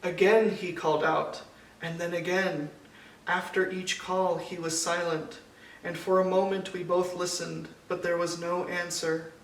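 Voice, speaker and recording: A man with a fairly high, creaky voice reads a children's story at an unhurried pace. He pauses deliberately between phrases. The sound is roomy and a little distant from the mic. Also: level tone. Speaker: storybook reader. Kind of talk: scripted children's picture-book narration read aloud. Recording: echoey room, distant mic, faint noise.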